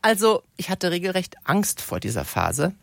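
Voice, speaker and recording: A woman's voice: fairly low pitch, huge pitch swings, normal speed, gravelly, no echo. A woman in her early sixties giving reflective, conversational answers as an interview guest. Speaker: interview guest, retirement coach.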